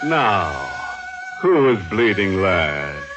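A man's voice: low voice, big pitch swings, very slow, heavily acted. A man playing a ghoulish, sardonic horror host, narrating a 1940s radio mystery with tongue-in-cheek relish. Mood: gleefully macabre. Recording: dull vintage radio recording, music.

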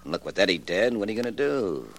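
Shifty, slangy American card hustler. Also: male voice, fairly low pitch, sing-song, fast talker, vocal fry, slight background noise. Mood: scheming, persuasive. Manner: slangy, tough talk. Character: shifty male small-time card hustler, pushy and menacing. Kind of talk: 1950s radio crime drama acting.

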